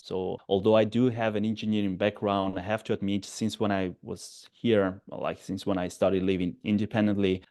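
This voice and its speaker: Low-voiced Italian man, halting speech. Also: a little mellow. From Italy, Sardinia. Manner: halting, frequent restarts, laughs easily. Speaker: guest investor. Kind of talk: podcast interview.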